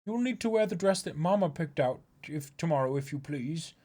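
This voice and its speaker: A man's voice: on the high side, lively intonation, normal speed, dark tone. A man playing a formal, fussy and dutiful aristocratic retainer in a dramatic comic reading.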